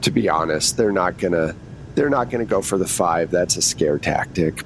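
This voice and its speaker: A man's voice: low-pitched, normal speed, pitched below the performer's own voice. A man voicing an easygoing, wry jailhouse lawyer in casual, frank tones for an improvised tabletop roleplay. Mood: frank, reassuring. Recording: steady background hum and noise.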